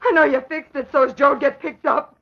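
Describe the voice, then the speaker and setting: An actress playing a gangster's defiant, grief-crazed widow in shrill, slangy 1940s radio melodrama. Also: female voice, steady tone, moderate pace, creaky. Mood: bitter, furious. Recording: dull old radio recording.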